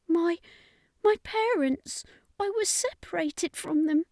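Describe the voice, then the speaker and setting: A woman voicing a frightened small alien boy in an audiobook, pleading and tearful in a halting way. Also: very high voice, mellow, no room sound, studio quality, voice pushed up, heavily acted. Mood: tearful, lost.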